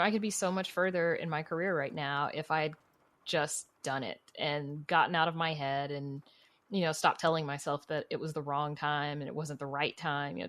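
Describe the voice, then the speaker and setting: A woman with a notably low voice talks casually as an interview guest. She gives advice in a candid, encouraging way, leaning often on "you know" as she goes. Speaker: podcast guest, writer and producer.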